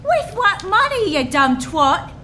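A nagging peasant wife, scolding her husband in a very high, piercing voice that leaps about, as a woman acts her out. Bright and sharp in tone, with faint room ambience. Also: big pitch swings, takes it slowly, a little hiss or hum, exaggerated voice.

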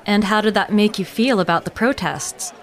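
An actress playing a poised local news reporter in an audio drama, measured and formal. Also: female voice, medium pitch, some chatter behind, close to an everyday voice. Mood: composed.

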